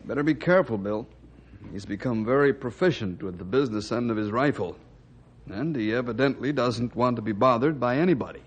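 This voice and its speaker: A man's voice: mid-range voice, expressive, a little fast, background noise, close to an everyday voice. A man playing an amiable, matter-of-fact district ranger in an old-time radio drama, easygoing and plain-spoken. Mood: friendly. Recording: muffled, band-limited vintage radio recording.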